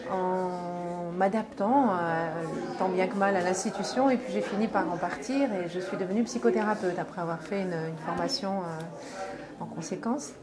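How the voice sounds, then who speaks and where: A woman's voice: lowish voice, normal speed. A middle-aged woman, a former teacher turned psychopedagogue, telling a personal story in an interview, with frequent hesitations. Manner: warm storytelling, many fillers. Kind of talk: vox pop interview. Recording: busy crowd behind.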